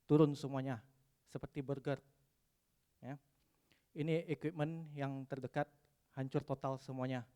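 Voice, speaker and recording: A man from West Sumatra giving a technical conference presentation, pausing often with "eh" and dropping in English terms. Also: mid-range voice, flat delivery, dark tone. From West Sumatra, Indonesia. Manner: hesitant, frequent "eh" fillers, mixes in English terms.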